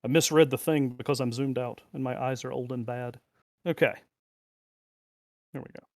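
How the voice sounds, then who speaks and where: A man's voice: medium pitch, fairly even intonation, moderate pace, darkish tone, no room sound, clean studio sound. A middle-aged man chatting casually as he plays a card game, talking through his moves and muttering to himself and the cards.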